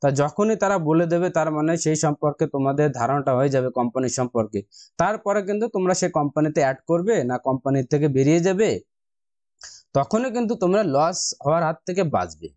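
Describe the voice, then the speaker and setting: A man's voice: a little high, lively intonation, fast, a little mellow, no room sound. A man with an Indian Bengali accent, narrating a casual step-by-step tutorial and addressing viewers as friends in an instructional way. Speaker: investing tutorial host.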